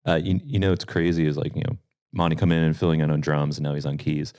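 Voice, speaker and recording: A man's voice: very deep voice, steady tone, fast talker, a little mellow. A man, a band member, chatting casually and joking with bandmates on a podcast. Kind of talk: casual chat.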